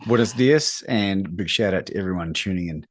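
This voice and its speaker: A man with a New Zealand accent and a fairly low voice speaks as a coach being interviewed. His delivery is earnest and emphatic, in the style of motivational talk. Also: a little fast. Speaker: guest, courage coach.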